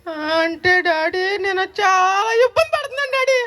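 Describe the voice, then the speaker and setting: A mischievous little boy puppet with an extremely high, squeaky voice. He whines, pleads and repeats himself dramatically. It is made for a children's church puppet show. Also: lively intonation, moderate pace, sharp tone, exaggerated voice. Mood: sheepish, pleading. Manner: whining, repetitive, addresses the children as friends.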